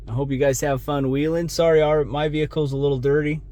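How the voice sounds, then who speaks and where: A man's voice: fairly high pitch, mellow. Casual American man showing his handiwork. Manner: plainspoken, informal. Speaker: vlogger showing his vehicle radio install. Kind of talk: casual hands-on walkthrough.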